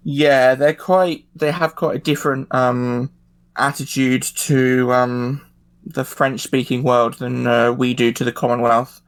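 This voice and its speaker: A man on a casual podcast panel, explaining things in a halting, tentative way. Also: medium pitch, flat delivery. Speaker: discussion panelist.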